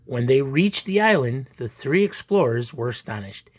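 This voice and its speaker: Husky, theatrical male storyteller. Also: medium pitch, animated. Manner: theatrical, deliberate. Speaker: storyteller reading a children's picture book aloud. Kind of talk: expressive dramatic read-aloud narration.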